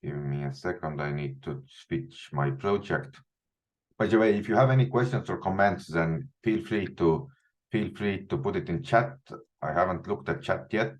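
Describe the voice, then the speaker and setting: A very deep-voiced man with an Estonian accent leads a live online coding lesson from a small room at home. He thinks aloud as he works, restarting and repeating words often. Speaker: instructor.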